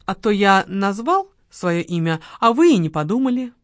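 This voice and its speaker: A woman's voice: mid-range voice, big pitch swings, moderate pace, no echo, close to an everyday voice. Brief: woman doing a surly, scheming man, hissing and snapping, audiobook, dry close sound. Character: man, sullen, hostile, scheming lover.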